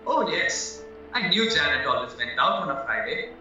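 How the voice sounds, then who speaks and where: An actor as an eager, high-voiced accused young man. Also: sing-song, moderate pace, pushed and bright, full character voice. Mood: earnest, chatty. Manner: earnest, rambling, protesting. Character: naive, eager, anxious married man accused of murder. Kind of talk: stage-style audio drama acting. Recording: very echoey, muffled, distant, music underneath.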